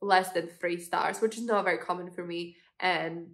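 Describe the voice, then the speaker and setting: A woman's voice: on the low side, fairly even intonation, normal speed, fairly bright tone. Young woman host, stuffy cold voice, chatty with fillers, casual review talk. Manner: chatty, frequent fillers, laughs while talking. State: congested from a cold. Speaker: booktube host. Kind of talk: casual book review chat.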